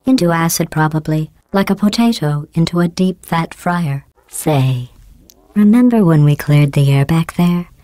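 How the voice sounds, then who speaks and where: Low smooth robot AI lady from a game, deadpan and passive-aggressive, dry studio sound. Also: a little slow, no room sound. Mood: deadpan mocking. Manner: deadpan, passive-aggressive insults in a calm even tone. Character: cold sarcastic female AI computer running test chambers. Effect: synthetic robotic voice processing. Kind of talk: game voice lines. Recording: dry close studio recording.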